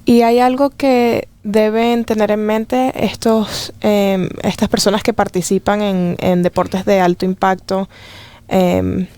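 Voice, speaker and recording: A woman's voice: mid-range voice, flat delivery, slow pace, dark tone, smooth, dry recording. A woman hosting a podcast interview, asking careful, polite questions with brief affirmations. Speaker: podcast host.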